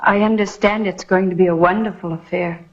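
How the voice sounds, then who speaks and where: Aktorka grająca szczerą młodą telegrafistkę, lekko chropawy głos. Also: female voice, on the low side, steady tone, brisk, close to an everyday voice. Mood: polite. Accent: American. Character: earnest, wistful young blind telegraph operator in Old West. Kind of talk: old American TV western acting. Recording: dull vintage TV western soundtrack.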